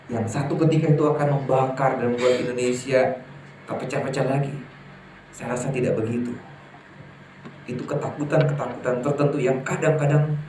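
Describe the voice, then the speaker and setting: A man preaching a sermon to a congregation, lively and emphatic, often putting questions to his listeners. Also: mid-range voice, a little hiss or hum. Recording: echoey hall from far off, faint ambience.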